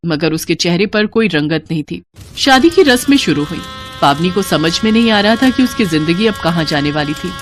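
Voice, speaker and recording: A woman reading a scripted story as its narrator. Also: on the low side.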